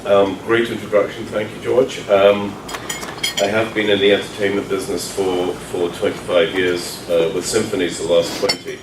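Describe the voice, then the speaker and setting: Guest speaker: genial Englishman, deep register, informal talk, noisy roomy recording. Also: flat delivery, normal speed. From London, England. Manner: genial, joking, self-deprecating. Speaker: guest speaker, orchestra executive. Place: meeting room during a breakfast gathering. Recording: roomy, distant mic, clattering dishes, noisy.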